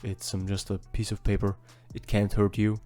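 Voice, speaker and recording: A young man talks in a loose, rambling way, poking fun at himself as he goes. His voice sits low and sounds soft and mellow. Faint music plays underneath. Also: completely flat delivery, normal speed. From Austria. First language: German. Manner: rambling, self-deprecating, chatty. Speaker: artist vlogger. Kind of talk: casual voice-over while drawing.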